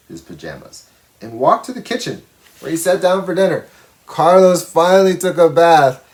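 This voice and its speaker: A man reading a children's storybook aloud expressively, with simple explanatory asides for young listeners. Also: on the high side. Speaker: storybook reader.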